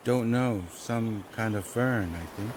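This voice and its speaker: A man's voice: fairly low pitch, takes it slowly. Cautious male guide, noisy backdrop. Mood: uneasy. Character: cautious male smuggler guide in post-apocalyptic wilderness. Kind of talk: audio drama acting. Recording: clear background noise.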